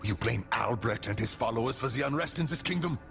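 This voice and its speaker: A man's voice: mid-range voice, lively intonation, fast talker, gravelly, fairly bright tone. An actor playing a stern, outspoken man who defends common people, grave and accusatory in video game drama. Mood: accusing. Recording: music behind the voice.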